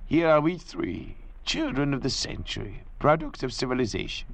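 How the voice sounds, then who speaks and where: A man playing an arrogant, intellectual doctor cast away at sea in old radio drama style, lecturing theatrically in a gravelly voice. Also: mid-range voice, sing-song, moderate pace, a bit bright. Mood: intense, brooding. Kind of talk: 1940s radio drama acting. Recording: old radio recording with faint hiss.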